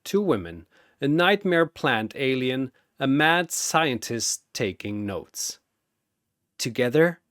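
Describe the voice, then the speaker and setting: Slow, dramatic male story narrator. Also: mid-range voice, big pitch swings, a bit bright, dry recording, clean studio sound. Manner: theatrical, deliberate phrasing.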